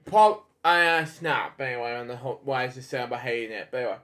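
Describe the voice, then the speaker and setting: A man hosting a read-aloud of children's stories in a casual, halting, rambling way with off-the-cuff asides. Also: medium pitch, a bit bright. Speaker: host reading bedtime stories.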